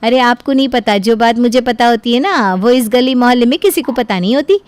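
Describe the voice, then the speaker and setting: Woman putting on a high-voiced, brash young daughter-in-law. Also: fairly quick, clean tone, no room sound, lightly acted. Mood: know-it-all. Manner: butts in, argues back, defensive. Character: brash, self-righteous young daughter-in-law who never admits fault. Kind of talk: cartoon voice acting.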